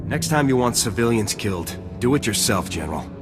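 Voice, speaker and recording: A man's voice: medium pitch, big pitch swings, brisk, big-room sound, music in the background, lightly acted. A man playing a brash, cynical army lieutenant in a video game, curt, cocky and insolent. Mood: defiant. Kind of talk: scripted game dialogue.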